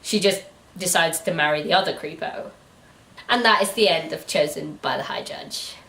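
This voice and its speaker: A woman's voice: deep voice, moderate pace, vocal fry, sharp tone. A British woman hosting a casual, comedic book review chat, giggly, incredulous and free with swearing. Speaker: host of a book review show. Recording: roomy echo, distant mic.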